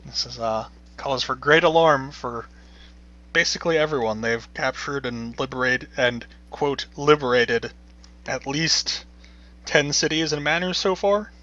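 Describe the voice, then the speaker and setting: A man voicing a panicked, flustered male servant of a noble household, who speaks formally and in a hurried, pleading way. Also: medium pitch, bright, forceful tone. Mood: anxious. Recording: dull lo-fi recording.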